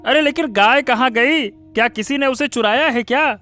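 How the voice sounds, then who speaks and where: A man acts out an earnest village cowherd who serves a household in a cartoon moral tale. Soft music plays under the voice. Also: very high voice, moderate pace. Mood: puzzled, alarmed. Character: earnest village cowherd, a male servant who delivers milk. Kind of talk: Hindi animated moral story acting.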